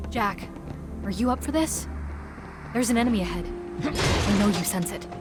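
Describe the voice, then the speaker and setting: A woman plays a curious, earnest young adventurer in a fantasy game. Her medium-pitched voice competes with loud music. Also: animated, normal speed, natural voice. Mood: concerned. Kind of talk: English video game voice acting.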